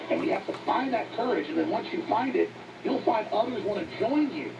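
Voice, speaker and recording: A man hosting a talk show, delivering impassioned, exhorting political monologues in a gravelly voice. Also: high-pitched, recorded from a distance. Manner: fervent, exhorting. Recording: noisy, dull radio playback picked up from afar.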